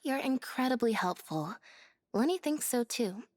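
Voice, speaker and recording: A woman voicing a composed, loyal young sister in a game, calm, reserved and polite. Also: fairly high pitch, moderate pace. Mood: calm reassurance. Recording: game music behind the voice.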